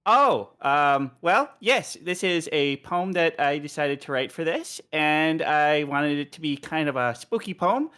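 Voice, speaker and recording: A man's voice: a little high, lively intonation, measured pace, few pauses, a bit bright, clean tone. A man talking casually and playfully as a guest on a livestream. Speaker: streamer guest, poet.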